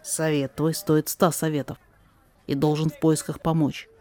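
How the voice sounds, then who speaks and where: A woman playing a wise, cautious male sorcerer in a rhymed audio drama, speaking in a quiet, measured, deliberate way. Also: deep voice, level tone, lightly acted. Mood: wise, musing.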